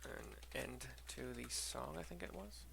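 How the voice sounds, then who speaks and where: A man streaming a game, casually describing cutscenes in a halting, piecemeal way, with game music behind him. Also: medium pitch, vocal fry, very noisy. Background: game music and sound.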